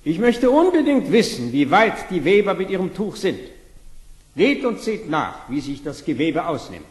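A pompous fairy-tale emperor who commands his court in a high male voice. The radio play sets him in a reverberant, echoing hall. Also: big pitch swings, normal speed. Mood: imperious. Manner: pompous, imperious.

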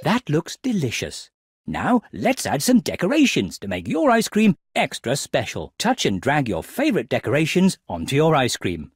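Highly animated children's game narrator. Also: moderate pace, husky, dry recording, studio quality. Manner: expressive, instructional, addressed to young children. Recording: music behind the voice.

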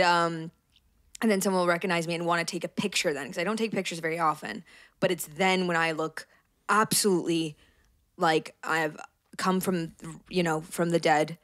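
A woman's voice: lowish voice, fairly bright tone, no room sound, clean studio sound. A woman in her twenties from Canada, co-hosting a casual podcast, rambling and self-deprecating with frequent giggles. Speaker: podcast co-host. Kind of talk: casual chat. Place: small apartment room, indoors. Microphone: handheld dynamic mic, close.

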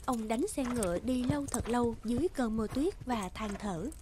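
A woman's voice: on the high side, normal speed, background noise. A woman narrating a scripted fairy tale as the storyteller.

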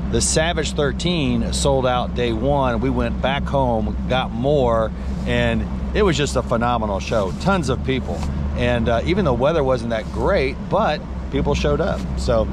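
A man's voice: mid-range voice, noisy background. Upbeat middle-aged man on a boat. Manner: upbeat, talks straight to viewers, excitable when fish bite. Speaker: fishing guide and bait seller. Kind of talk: casual fishing vlog with sales pitch. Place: outdoors on a boat on a lake. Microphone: action camera on the boat.

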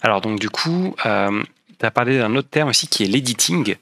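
A man's voice: on the low side, lively intonation, normal speed, fairly bright tone, slight background noise. A young man hosting an interview, politely rephrasing the guest's answers to check he understands. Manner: polite, apologetic, clarifying. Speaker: interviewer, host.

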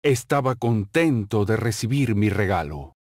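A man reading short phrases for a language lesson, saying each one slowly and clearly and then repeating it. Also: lowish voice, animated, darkish tone, dry recording. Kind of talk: slow, carefully enunciated drill reading. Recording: dry, close studio voice-over.

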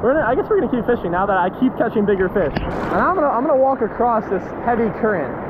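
A young man in his twenties vlogging while fishing in a river by a waterfall, excitable and whooping when fish bite, recorded on a handheld action camera. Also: very high voice, phone-quality sound. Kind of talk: excited outdoor vlog. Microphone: action camera held at arm's length. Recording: loud rushing water noise. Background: rushing river water.

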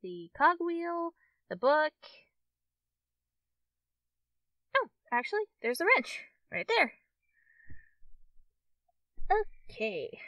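A woman playing a friendly, shy, easily excited young fox-tailed girl in an ASMR roleplay, speaking hesitantly to the listener as a friend. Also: high-pitched, dry recording, far from the mic, highs cut off, full character voice. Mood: friendly, playful. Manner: hesitant, halting, giggly, addressing the listener as a friend. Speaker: roleplay performer.

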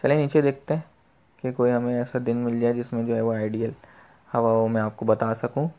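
A man's voice: medium pitch, level tone, fairly quick, warm tone. A man giving an informal screen tutorial, explaining step by step with repeated filler phrases. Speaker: fishing tutorial host.

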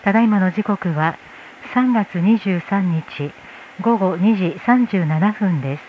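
A woman's voice: mid-range voice, measured pace. A woman working as a flight attendant, making a formal inflight announcement over the cabin PA. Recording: tinny cabin PA with noise. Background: cabin noise.

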